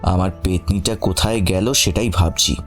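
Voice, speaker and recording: A man's voice: low-pitched, fairly even intonation, normal speed, soft background music, close to an everyday voice. A man playing an affectionate, teasing young husband in a romantic audio story, with an intimate, playful manner. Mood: teasing, possessive.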